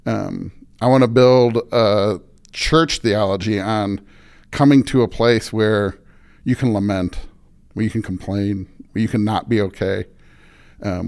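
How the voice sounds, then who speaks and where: A man with a fairly low voice talks as a pastor being interviewed. He is earnest and reflective, working through his thoughts aloud and leaning on repeated phrases for emphasis. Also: gravelly, a little mellow. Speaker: pastor and podcast guest.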